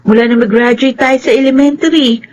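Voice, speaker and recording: High-voiced teen schoolgirl, acted by a woman, noisy recording. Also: steady tone, fairly quick, a little mellow, highs cut off, close to an everyday voice. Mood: sympathetic, frank. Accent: Filipino. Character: frank, sympathetic teenage schoolgirl friend. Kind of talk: Filipino radio drama acting.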